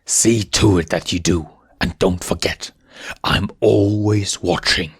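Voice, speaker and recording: A man's voice: sits low, fairly even intonation, husky, heavily acted. A man voicing a menacing, contemptuous villain lion in a storybook, drawing out his theatrical threats. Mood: cold threat. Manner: slow, drawn-out, theatrical threats.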